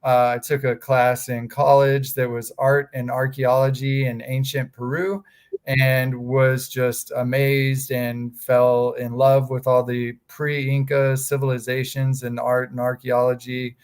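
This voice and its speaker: A man's voice: medium pitch, monotone, measured pace, clean tone. A man from Texas in his thirties, speaking, talking casually in an interview with many fillers and restarts. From Texas, USA. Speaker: guest, fraud examiners association staff.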